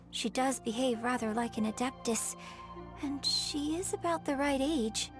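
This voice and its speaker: Young female game companion, voiced by an actress: slightly high, thoughtful, earnest, reasoning aloud. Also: lightly acted. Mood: pondering. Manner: reflective, musing. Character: thoughtful, earnest young opera performer piecing together clues. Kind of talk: video game voice acting.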